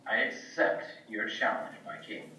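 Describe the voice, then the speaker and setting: A man's voice: unhurried, close to an everyday voice. A man playing a boastful, self-absorbed genius inventor in a children's puppet show, theatrical and self-assured. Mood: confident. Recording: echoey room, distant mic, dull, some noise.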